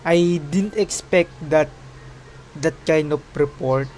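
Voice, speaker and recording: Slow, halting male game streamer. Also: a little high, a little mellow, slight background noise. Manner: slangy, halting. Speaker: livestreamer. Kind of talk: casual game reaction commentary.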